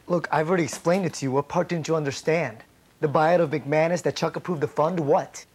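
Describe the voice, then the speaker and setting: A man's voice: fairly high pitch, fairly even intonation, fairly quick, husky. An actor in his thirties playing a slick, brash investment manager who is evasive under pressure, in a corporate training-film drama. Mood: impatient. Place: indoor office.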